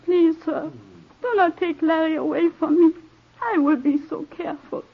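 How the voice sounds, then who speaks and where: A tinny, phone-like old radio recording of a young immigrant. Her voice is high and pleading, earnest and fearful, with careful phrasing in 1940s radio melodrama style. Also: normal speed. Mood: desperate pleading. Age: 20s. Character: frightened, devoted twenty-year-old immigrant woman, pleading.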